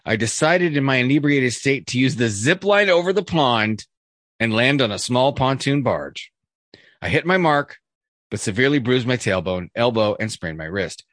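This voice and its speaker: Dude hosting a podcast, middle pitch, dry close sound, joking around and restarting sentences. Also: male voice, a bit bright, no echo. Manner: jokey asides, many fillers and restarts. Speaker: podcast co-host. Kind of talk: casual chat, reading a list.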